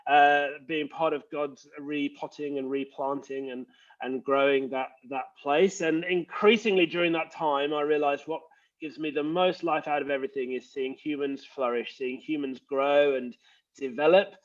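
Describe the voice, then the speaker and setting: A man's voice: fairly high pitch, steady tone, normal speed, highs cut off. A man with an English accent, a leadership coach explaining earnestly in a conversational interview over a video call. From England. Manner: earnest, explanatory, frequent uh fillers.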